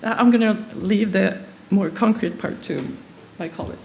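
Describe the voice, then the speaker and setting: A woman's voice: mid-range voice, creaky, a little mellow, slight background noise. A woman from Sweden, speaking English as a second language, giving a conference presentation with many hesitations and restarts. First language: not English. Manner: halting, many fillers and restarts.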